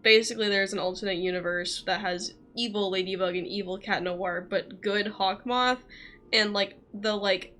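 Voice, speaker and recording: A woman's voice: medium pitch, steady tone, normal speed, fairly bright tone. A woman game streamer giving casual, rambling livestream commentary in an informal way.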